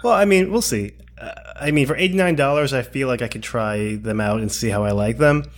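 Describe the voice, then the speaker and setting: Hesitant male tech podcaster, mid-pitched. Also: a little fast. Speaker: podcast co-host. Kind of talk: casual tech podcast chat.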